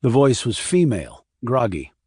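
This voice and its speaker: A man reading a scripted crime thriller as an audiobook narrator, measured and restrained. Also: mid-range voice, wildly swooping pitch, a little mellow, breathy edge, no echo, studio quality.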